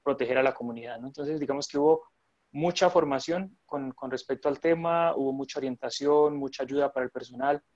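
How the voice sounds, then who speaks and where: A man's voice: medium pitch, fairly quick, dry recording. Colombian man talking over a dull call. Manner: relaxed, many fillers and restarts. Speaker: nurse interviewee. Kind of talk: remote interview chat.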